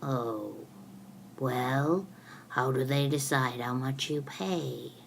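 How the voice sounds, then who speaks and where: A woman voicing a glum, slow-witted, childlike man who talks in broken grammar in a storybook skit. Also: rumbling low, creaky, a little hiss or hum, exaggerated voice. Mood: glum, confused.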